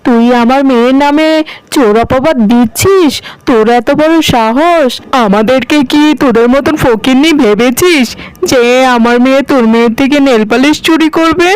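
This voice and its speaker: A woman voicing a haughty, scornful tigress mother in a cartoon, scolding and quick to take offence. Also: high-pitched, smooth, no room sound, natural voice, poor audio. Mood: indignant. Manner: scolding, insulting, indignant. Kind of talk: animated cartoon dialogue.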